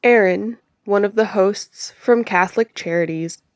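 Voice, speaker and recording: A woman's voice: medium pitch, a little slow, dry recording, clean studio sound. A woman reporter reading a scripted narrative story.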